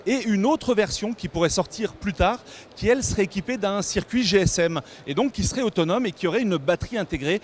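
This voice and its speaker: A French man with a slightly high voice presents a tech product in a semi-improvised, explanatory style. He hesitates and rephrases as he goes. The recording carries light background noise from a trade show floor. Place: busy trade show hall.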